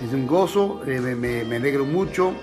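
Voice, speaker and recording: A man born in the United States, a missionary pastor giving his testimony in an interview in an earnest, halting way with many restarts. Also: mid-range voice, animated, over music.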